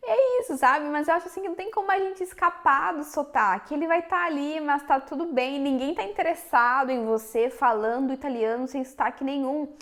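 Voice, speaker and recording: A young Brazilian woman with a Minas Gerais accent and a high, bright-sounding voice. She talks frankly to her viewers in a relaxed vlog, addressing them directly the whole time. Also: a little fast, few pauses. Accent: Minas Gerais Portuguese. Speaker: vlogger. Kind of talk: casual chat to camera.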